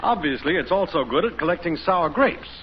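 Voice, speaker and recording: An actor playing a boastful, cheerful middle-aged neighbor in a 1950s radio sitcom. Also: male voice, fairly high pitch, expressive, fairly quick, natural voice. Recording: muffled, noisy old radio recording.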